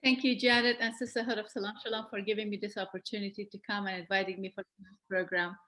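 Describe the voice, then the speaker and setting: Woman in her forties, earnest webcast presenter, mid-pitched, video call. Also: fast. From Afghanistan. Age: 40s. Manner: earnest, steady storytelling with small restarts. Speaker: guest presenter.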